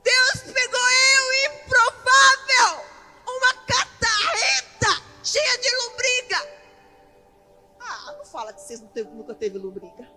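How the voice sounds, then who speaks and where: A Brazilian woman preacher with a very high, forceful voice delivers an impassioned sermon. She speaks at an unhurried pace in plain colloquial language, driving points home with repetition. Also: animated. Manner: emphatic, with rhetorical questions and repetition; colloquial, ungrammatical everyday speech. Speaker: pastor preaching at a women's church conference. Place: large indoor church event hall. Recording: echoey large hall PA, faint music.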